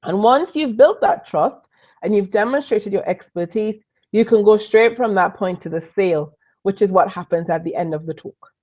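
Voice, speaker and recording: A woman from Trinidad with a Trinidadian accent mixed with British tones, giving a lively live online business training and repeating key points for emphasis. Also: medium pitch, moderate pace, dull audio. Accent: Trinidadian, mixed with British and Northern Irish. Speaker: business coach.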